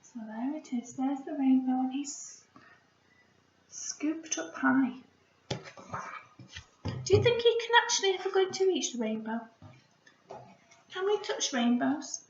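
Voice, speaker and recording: A woman teacher speaks to young children in a lilting, lively storytelling voice. Her delivery is unhurried and encouraging. The home recording is echoey and slightly dull. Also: sits high, a little hiss or hum. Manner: encouraging, expressive, asks children questions. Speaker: primary school teacher reading a story.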